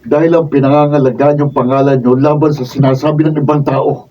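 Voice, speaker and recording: A man's voice: on the high side, fairly even intonation, brisk, dark tone, natural voice. A Filipino man acting a proud, emotional young man in a radio drama. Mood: hurt, indignant. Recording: distant dull mic, faint noise.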